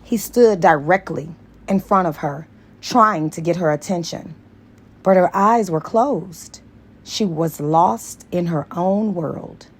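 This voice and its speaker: Woman, audiobook reader, slightly low pitch, very slow pace, scripted story narration. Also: husky.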